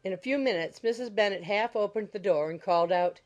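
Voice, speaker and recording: A woman narrating a classic novel in a measured, literary storytelling manner. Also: medium pitch, highs cut off. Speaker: audiobook narrator. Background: background music.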